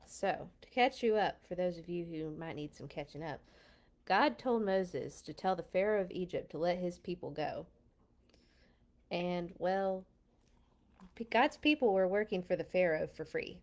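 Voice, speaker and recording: A woman reading stories aloud to children in an expressive, playful way, with casual asides. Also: on the low side, unhurried. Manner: playful, animated in telling. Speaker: children's storyteller at a church read-along.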